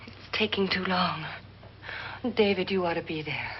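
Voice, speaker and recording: A woman's voice: lowish voice, moderate pace, lightly acted. An actress playing an impatient, anxious woman scheming a murder in a 1950s horror film, with urgent delivery. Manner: urgent, questioning. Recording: muffled, hissy old film soundtrack.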